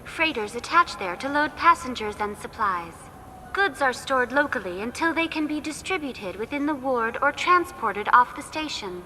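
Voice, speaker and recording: A woman playing a polite, impassive virtual tour guide program in a game, speaking in formal, measured, instructive phrases. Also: high voice, expressive, pushed and bright. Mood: courteous, impassive. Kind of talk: scripted informational guide narration. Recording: echoing hall, faint background noise.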